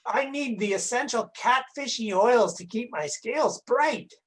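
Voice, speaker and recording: A woman voicing a childlike, excitable and easily distracted dragon in a scripted children's church dialogue, eager and a bit hesitant. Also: on the high side, a little slow, natural voice. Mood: eager, chatty.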